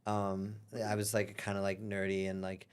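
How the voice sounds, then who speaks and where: A man's voice: low voice, completely flat delivery, normal speed, smooth. A young man born in London, a guest talking casually in an interview, rambling with many fillers. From born in London, grew up in Europe. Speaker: guest, singer and artist.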